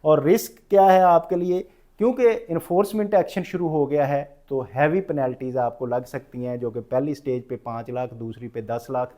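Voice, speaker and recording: A man's voice: mid-range voice, mellow. A man with a Pakistani accent lecturing on rules as an instructor, explaining didactically and restating each point. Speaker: tax lecturer.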